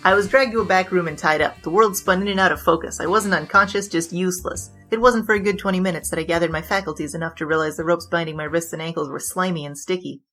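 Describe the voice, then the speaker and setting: A woman's voice: fairly low pitch, fast, few pauses, a bit bright. A woman playing a brash, boastful young bandit king who tells wisecracking, slangy stories in a 1920s noir comedy audio drama, with music behind. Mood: rueful storytelling. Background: background music.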